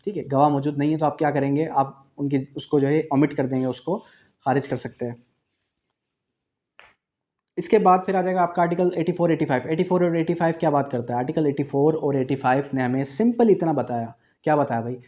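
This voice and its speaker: Higher-voiced Pakistani man teaching law. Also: dark tone. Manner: didactic, asks and answers own questions, repeats key phrases; switches into English for key terms. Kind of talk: exam revision lecture.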